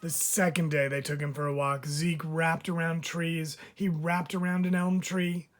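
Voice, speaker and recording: A man's voice: on the high side, level tone, measured pace, slight background noise. A man reading a children's story aloud like a teacher, drawing out phrase endings for emphasis.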